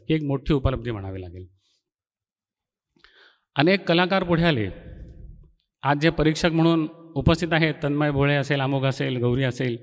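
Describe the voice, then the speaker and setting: A middle-aged man gives a ceremonial speech as a college teacher, reminiscing and explaining in an oratorical way. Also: on the high side. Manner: explanatory, poses rhetorical questions and answers them. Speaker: college faculty member giving an inaugural speech. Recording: long hall reverb.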